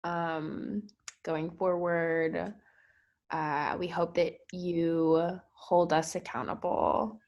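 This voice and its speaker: A woman's voice: fairly low pitch, flat delivery, extremely slow, a little mellow, muffled recording. A woman doing casual improv comedy chat on a podcast. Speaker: podcast cast member.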